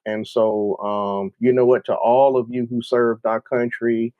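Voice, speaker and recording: A middle-aged man co-hosts a casual book chat with a medium-pitched voice. He is agreeable and quick to affirm. The recording is dry and a little dull. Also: flat delivery, smooth, dry recording. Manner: agreeable, often affirming his partner. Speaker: co-host of a book show. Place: indoor room with bookshelves, video call. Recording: slightly dull, lo-fi sound.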